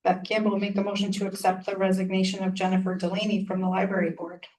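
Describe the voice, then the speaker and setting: Woman reading out tax refund motions, super flat, kinda far from the mic. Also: medium pitch, quick pace. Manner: flat, businesslike reading of figures. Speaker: town selectwoman. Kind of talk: reading motions at a town meeting. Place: meeting room.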